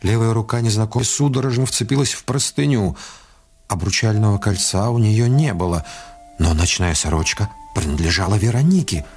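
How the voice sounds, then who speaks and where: A man narrating a scripted radio drama in a measured, literary storytelling manner. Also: lowish voice, animated, a little mellow.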